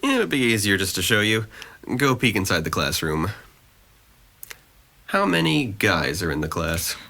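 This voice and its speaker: A man's voice: fairly low pitch, sing-song, normal speed, vocal fry, sharp tone, full character voice. A man acting a guarded, gloomy young man in an audio drama, with a dry, sarcastic, wordy delivery. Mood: sardonic. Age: young adult.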